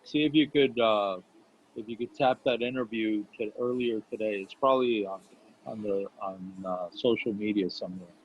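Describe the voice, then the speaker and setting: A man's voice: on the low side, normal speed. A native Spanish-speaking man chatting casually in a group discussion, searching for his words as he goes. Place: video call. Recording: muffled, noisy video call audio.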